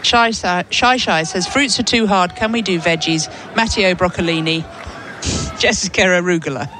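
A woman's voice: fairly low pitch, moderate pace, rough recording. A woman co-hosting a sports show, reading out listeners' messages with casual, playful banter. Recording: music playing behind, outdoor venue. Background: DJ music at outdoor venue.

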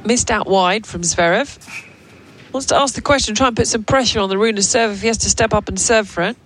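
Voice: mid-range voice, fairly quick, a bit bright. A live tennis commentator co-hosting a show, mixing point-by-point calls with playful, teasing banter. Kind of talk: live radio sports commentary mixed with casual banter. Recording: crowd and vehicle noise behind. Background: tennis crowd ambience.

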